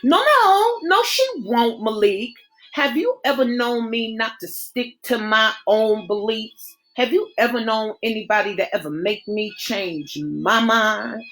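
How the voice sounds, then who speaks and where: A woman voicing an eager, scheming young woman whose lines jump high and pleading. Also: animated, measured pace, a bit bright, faint music behind.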